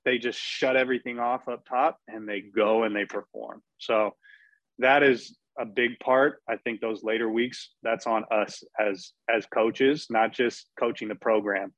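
Energetic coach on a muffled line. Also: male voice, medium pitch, unhurried, dry recording. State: losing his voice. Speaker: performance coach. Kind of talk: panel discussion. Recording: dull, dry lo-fi audio.

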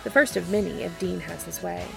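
A woman hosting and narrating fan fiction in a scripted, expressive storytelling read. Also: on the low side, fairly quick, vocal fry, over music, low-quality recording. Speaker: podcast host and reader.

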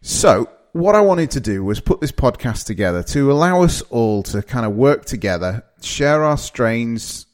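A man's voice: mid-range voice, a little mellow. A man with a northern English accent, in his thirties, introducing his podcast in a loose conversational way, with frequent fillers and restarts. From northeast England. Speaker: podcast host.

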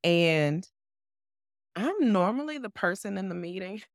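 A woman co-hosting a podcast who talks loudly with a bassy voice, measured and assured. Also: very animated, no echo, studio quality. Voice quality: loud with a bassy voice. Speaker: podcast co-host. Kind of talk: casual conversation.

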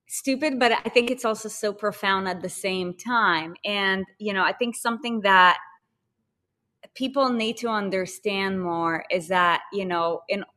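A woman from Israel, a podcast guest talking in animated, emphatic bursts full of fillers. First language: Hebrew. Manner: animated and emphatic, with sudden jumps in pitch and filler-heavy bursts. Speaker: podcast guest, fitness business co-founder. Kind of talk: casual interview chat.

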